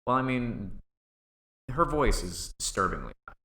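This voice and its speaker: A man's voice: medium pitch, sing-song, unhurried, roomy sound, crowd noise. A man co-hosting a podcast in casual, playful chat. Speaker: podcast co-host.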